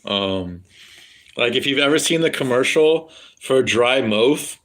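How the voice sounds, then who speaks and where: A man's voice: mid-range voice, expressive, slow, a bit bright. A man with a standard American accent chatting loosely with his live stream viewers, swearing freely and rambling with lots of fillers. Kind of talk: casual rambling chat with viewers.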